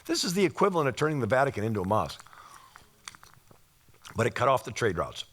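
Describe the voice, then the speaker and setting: A man with a slightly raspy voice giving an informal history lecture, explaining things and posing rhetorical questions as he goes. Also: mid-range voice, very animated, fast, fairly bright tone, slight background noise.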